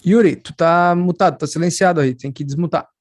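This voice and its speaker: A man's voice: on the high side, dry recording. Casual interpreter man, dry close sound. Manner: relays lines quickly and casually, switching between Portuguese and English. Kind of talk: live interpreting on a call. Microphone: video call.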